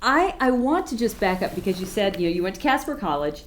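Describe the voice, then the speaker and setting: A woman hosts a podcast interview in an office set up in a converted barn. Her voice sits at a medium pitch, and she talks in a chatty, enthusiastic way. Faint noise hums behind her. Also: very animated, fast. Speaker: podcast host.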